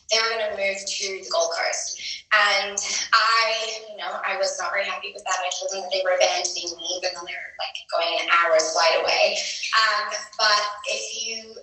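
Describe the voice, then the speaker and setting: A woman's voice: medium pitch, sharp tone. A woman in her twenties with a mixed British and Australian accent gives a candid, self-deprecating personal talk to an audience in a conversational way. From grew up in the UK and Sydney, Australia. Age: 20s. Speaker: speaker at a business retreat. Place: large room before an audience of two hundred. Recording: echoey room, picked up from far off.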